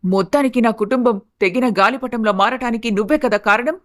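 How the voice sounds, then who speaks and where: A woman acts out a middle-aged, status-obsessed mother-in-law from an animated melodrama. Her voice sits fairly high and drips with indignation and sarcasm as she scolds and accuses. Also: brisk, natural voice. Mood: bitter, outraged. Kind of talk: melodramatic animated family story acting.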